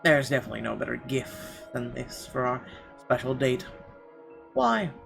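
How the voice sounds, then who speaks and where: A man's voice: medium pitch, sing-song, normal speed, gravelly. A man hosting a gaming video, reading a visual novel's narration aloud. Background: game music.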